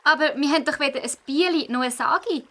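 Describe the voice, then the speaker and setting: Woman playing a very high-pitched excitable young fox. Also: quick pace. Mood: impressed, grateful. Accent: Swiss German. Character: young fox, keen hockey player, excitable and easily discouraged. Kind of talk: children's audio play acting.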